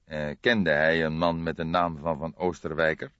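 Man acting a patient, deliberate old police detective. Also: rumbling low, flat delivery, fairly bright tone, smooth, dry recording. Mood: thoughtful. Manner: measured, thoughtful, hesitant hums. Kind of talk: audiobook character dialogue. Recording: dull lo-fi recording.

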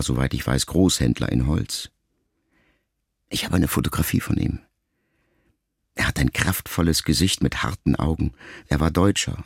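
A man acting a brooding son who addresses his dying mother in a reflective, measured radio-drama monologue. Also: very deep voice, gravelly, a little mellow, husky, no echo, studio quality, exaggerated voice. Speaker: radio play actor. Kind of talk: intimate first-person literary monologue in a radio drama.

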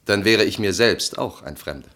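An actor playing an uneasy, civil husband in a German radio drama, with a hesitant, politely formal way of talking. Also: male voice, lowish voice, normal speed, fairly bright tone, roomy sound, lightly acted. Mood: uneasy, questioning.

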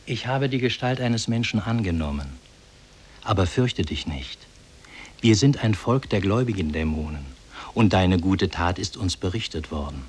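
A solemn spirit in human shape with a low, husky voice. He declaims formally and kindly, in the measured manner of old radio drama. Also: lively intonation, darkish tone. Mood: solemn, reassuring. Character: solemn, benevolent male demon in human form. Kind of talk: 1950s German radio drama acting.

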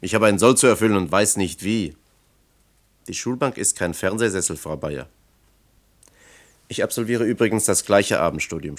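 An actor playing an earnest, cautiously discouraging male official in a radio play, with a measured, formal, probing manner. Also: deep voice, natural voice. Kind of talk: German radio play acting.

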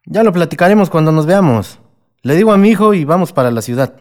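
A man's voice: on the high side, expressive, fast talker, background noise, natural voice. An actor playing a practical, unflustered middle-aged village father with a Mexican accent in a radio drama. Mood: guarded, polite.

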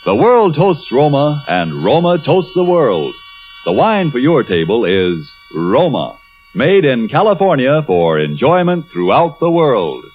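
Animated male radio ad announcer, tinny sound. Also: mid-range voice, measured pace. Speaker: commercial announcer. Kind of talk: 1940s radio advertising read. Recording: thin, tinny 1940s radio, faint music.

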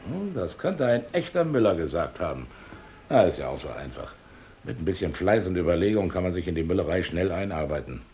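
A wealthy miller who sweet-talks a visitor into his plans. The voice is very deep and sly, and he coaxes as he talks. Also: sing-song, fairly quick, darkish tone. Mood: persuasive. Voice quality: coaxing, sly. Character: wealthy village miller, shrewd and persuasive. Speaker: rich miller in a radio play. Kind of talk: radio drama acting.

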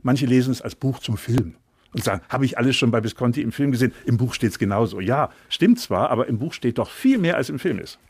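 A man talks about literature as an interview guest. He explains conversationally in a mid-pitched voice, dropping in quotations, with a dry studio sound. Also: lively intonation, quick pace, darkish tone, breathy edge, dry recording. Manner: explanatory, quoting lines as he goes.

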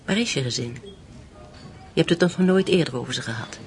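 Guarded widow, gentle, soft restrained delivery, intimate radio drama. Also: female voice, extremely low pitch, animated, fairly quick, faint music behind. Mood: pensive, curious. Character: guarded, gentle German widow torn in love. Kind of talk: naturalistic radio drama acting.

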